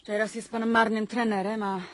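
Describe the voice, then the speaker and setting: A woman's voice: fairly high pitch, flat delivery, moderate pace, a bit bright, no room sound. An actress playing a haughty, mocking young upper-class lady in a radio drama, imperious and dismissive. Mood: mocking, scornful. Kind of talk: 1990s Polish radio drama acting.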